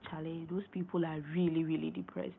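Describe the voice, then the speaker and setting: A young woman hosting a casual late-night live stream, hoarse and losing her voice, rambling and repeating herself as she clears her throat. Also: deep voice, level tone, fairly quick. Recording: tinny phone-line sound, faint noise.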